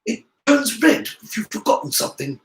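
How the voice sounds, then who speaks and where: Man voicing an earnest, formal schoolboy, pitched high. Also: sing-song, normal speed, creaky, exaggerated voice. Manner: formal, emphatic. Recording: roomy, echoing room sound.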